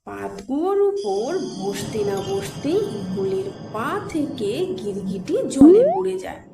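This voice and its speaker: A woman's voice: medium pitch, very animated, unhurried, vocal fry. A woman narrating a scripted cartoon story as a storyteller, in an echoey recording with music. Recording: echoey voice under loud music.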